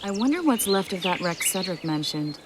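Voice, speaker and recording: An actress playing a composed, analytical companion in a fantasy video game. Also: female voice, mid-range voice, very animated, normal speed, noisy background, natural voice. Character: composed, knowledgeable female monster-hunting companion. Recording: birdsong and clicks behind.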